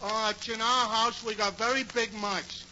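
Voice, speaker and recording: A muffled, very noisy old radio recording carries a dopey New York simpleton. He talks high and haltingly, earnest and ungrammatical, in broad 1940s comedy style. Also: steady tone. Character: slow-witted, earnest, simple-minded tavern regular.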